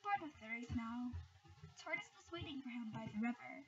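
A woman reading a bedtime story aloud with expressive, deliberate storybook phrasing, recorded indoors at home. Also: fairly high pitch. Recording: distant, muffled home recording with faint noise.

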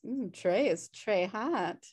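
A woman's voice: medium pitch, expressive, slow pace, darkish tone, husky. A woman playing a sardonic, pushy slacker sister in her thirties, dry and teasing, at a comedy table read on a video call. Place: home room on a video call.

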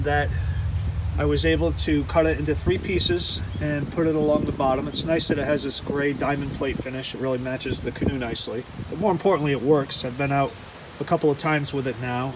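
A man casually walking the viewer through his gear outdoors, relaxed and chatty with frequent ums and restarts, recorded on a handheld camera. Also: medium pitch, brisk, very noisy. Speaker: outdoors vlogger. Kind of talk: casual show-and-tell walkthrough. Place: outdoors beside a canoe.

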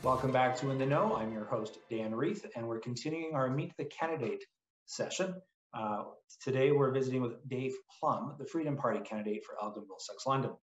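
A man's voice: medium pitch, a little fast, faint music behind. A man hosting an interview show from an office over a video call, giving formal introductions and questions. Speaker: interview host. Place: indoor office room. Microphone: webcam on video call. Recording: roomy video call audio.

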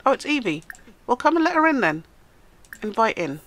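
Bright-voiced, stuffed-up woman gaming host. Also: a little high, big pitch swings, brisk. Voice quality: nasal. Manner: chatty, talks to the game characters. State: stuffed up from a cold. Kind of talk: casual let's-play commentary.

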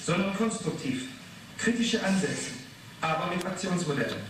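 A man's voice: sits high, lively intonation, moderate pace. An actor playing an earnest, guarded male TV editor in his twenties in a radio play. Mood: matter-of-fact, self-assured. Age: 20s. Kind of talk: 1980s German radio play acting. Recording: very echoey room, distant, noisy.

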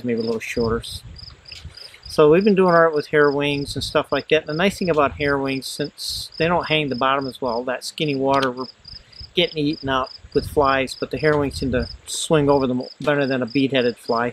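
A man's voice: medium pitch, fairly bright tone, low-quality recording. A middle-aged American man, a fishing guide giving a casual report outdoors in a folksy, plain-spoken way. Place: outdoors in an open riverside meadow. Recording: outdoor insect and background noise.

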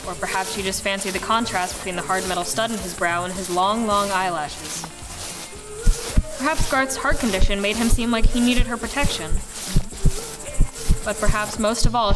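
A woman's voice: medium pitch, close to an everyday voice, low-quality recording. Woman as a wry narrator over music. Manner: wry, deliberate, literary. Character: arch, knowing storybook-like narrator. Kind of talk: scripted radio play narration.

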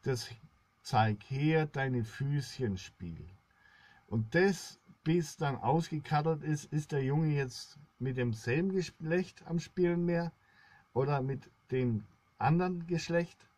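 A middle-aged man rambling to his viewers in a solemn, halting monologue, recorded indoors at about arm's length. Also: fairly high pitch, darkish tone, no echo. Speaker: blogger, solo video host.

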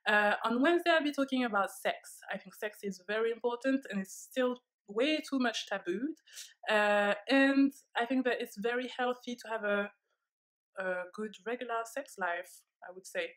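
A woman's voice: a little high, moderate pace, fairly bright tone. French woman chatting candidly to camera. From France. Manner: candid, reflective, with frequent fillers. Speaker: vlogger. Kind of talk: casual talk to camera. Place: living room, seated on a leather sofa.

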